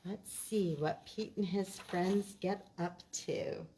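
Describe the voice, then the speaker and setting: Slow-talking woman leading children's storytime, echoey room. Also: mid-range voice, slight background noise. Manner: expressive, simple talk addressed to small children. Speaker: preschool storytime host at a library. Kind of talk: children's storytime, reciting rhymes and reading a picture book aloud. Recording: roomy echo, distant mic.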